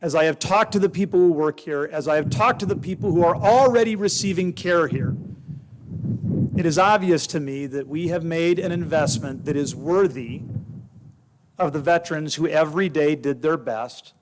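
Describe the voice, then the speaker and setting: Pria berpidato resmi bernada agak tinggi. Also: male voice, fairly high pitch, noisy background. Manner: measured, rhetorical repetition. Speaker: official speaker. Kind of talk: formal ceremony speech.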